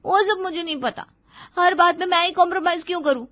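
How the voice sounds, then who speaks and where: A woman's voice: extremely high pitch, fast, no room sound, muffled recording, voice pushed up. A woman voicing a spoiled, sulky teenage daughter in a cartoon moral story, whining and snapping petulantly. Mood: defiant.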